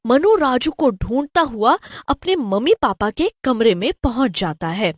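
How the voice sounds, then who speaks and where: A woman's voice: a little high, very animated, a little slow, dry recording, studio quality. A woman narrating a scripted horror story as a storyteller.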